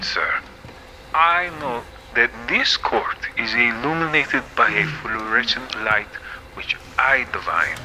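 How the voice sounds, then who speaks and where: A man's voice: mid-range voice, pushed and bright, slight background noise, low-quality recording. A man playing a proud, defiant inventor under oath in a courtroom audio drama, speaking formally and precisely with indignation.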